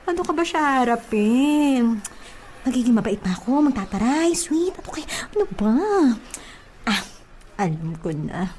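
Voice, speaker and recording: High, animated, flirty Filipina housemaid played by a woman. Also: normal speed, a little hiss or hum. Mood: flustered. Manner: exaggerated, teasing, swinging between coy and scolding. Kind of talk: melodramatic Filipino radio-style comedy drama.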